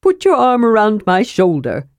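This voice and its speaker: A woman's voice: medium pitch, wildly swooping pitch, moderate pace, a little mellow, no room sound, voice pushed up. A woman voicing an eager, chattering male kender who protests indignantly. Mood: coaxing. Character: cheerful chattering male kender, small and nimble.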